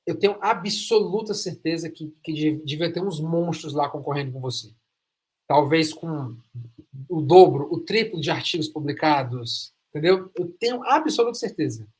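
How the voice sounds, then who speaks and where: A man's voice: fairly high pitch, normal speed, darkish tone. A Brazilian man hosting an interview podcast, talking emphatically and repeating phrases for stress. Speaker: podcast host. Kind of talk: enthusiastic interview chat.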